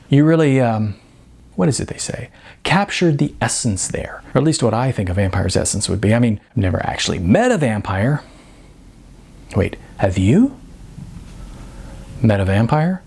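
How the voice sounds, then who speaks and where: A man voicing a cocky, rambling young wannabe fighter in an audiobook, slangy, boastful and excitable. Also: medium pitch, husky. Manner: slangy, boastful, excitable, hesitant filler words.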